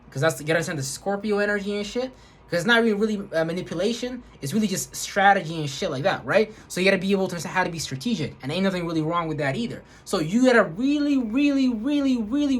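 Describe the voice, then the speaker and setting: Husky man on a rambling spiritual rant. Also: sits high. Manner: rambling, emphatic, heavy swearing. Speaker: vlogger. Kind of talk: profane spiritual rant to camera.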